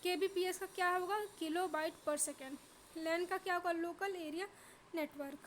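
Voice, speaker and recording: A woman's voice: very high voice, fairly even intonation, a little slow, slight background noise. Woman teacher with a sore throat. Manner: drills terms by asking then answering. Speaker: exam-prep teacher. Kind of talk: repetitive question-and-answer revision lesson.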